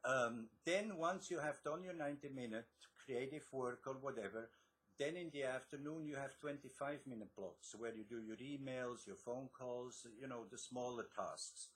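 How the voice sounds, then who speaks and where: A man's voice: medium pitch, normal speed, fairly bright tone. A middle-aged man from Switzerland with a Swiss accent, a guest author explaining his methods in an interview, with frequent restarts. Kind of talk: interview answers.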